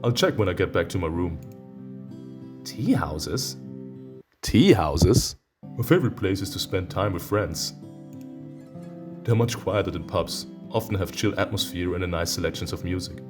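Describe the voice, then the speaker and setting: Man, deep voice, swooping intonation, let's-play host, reading aloud, playful teasing asides, instrumental music in background. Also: moderate pace, pauses often. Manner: expressive, teasing, exclaiming. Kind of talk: reading a visual novel aloud with playful reactions. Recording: music behind the voice.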